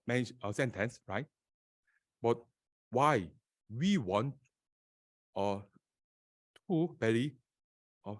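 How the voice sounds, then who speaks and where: A man from South Korea with a Korean accent and Korean as his first language, presenting slides at a conference in an auditorium with many halting pauses. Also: medium pitch. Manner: halting, many filler sounds and restarts. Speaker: conference speaker.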